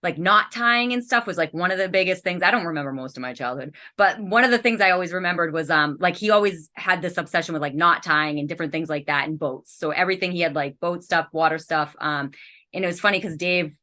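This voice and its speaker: Low-voiced, bright woman, chatty guest. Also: fast talker. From Massachusetts. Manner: chatty, with frequent "like" and "um". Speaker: nonprofit co-founder guest. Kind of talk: casual interview chat.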